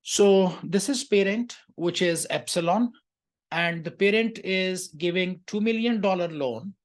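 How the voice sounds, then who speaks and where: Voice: mid-range voice, a little slow. An accounting tutor giving an explanatory lecture through a worked exam question, in a didactic way that often checks the listener follows.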